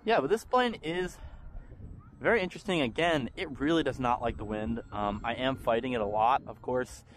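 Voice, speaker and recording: A man's voice: medium pitch, moderate pace. Young male hobby vlogger, mid-range voice, easygoing chatter, recorded outdoors with light background noise. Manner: relaxed, chatty, frequent ums. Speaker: RC hobby channel host. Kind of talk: casual commentary while flying. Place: open park field outdoors.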